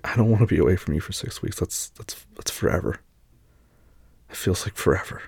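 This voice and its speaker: A man speaking softly and reassuringly to a partner, often dropping to a tender whisper in an intimate one-sided roleplay. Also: sits low, animated, fairly quick, creaky, breathy edge, no echo. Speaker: boyfriend speaking to an unseen listener.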